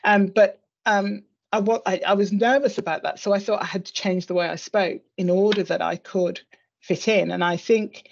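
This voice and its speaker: British woman, middle-aged, mid-pitched, measured, thoughtful, interview guest, muffled video-call sound. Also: fairly even intonation, vocal fry. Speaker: interview guest, senior executive. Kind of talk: reflective personal conversation. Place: indoor room on a video call. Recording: slightly dull video call audio.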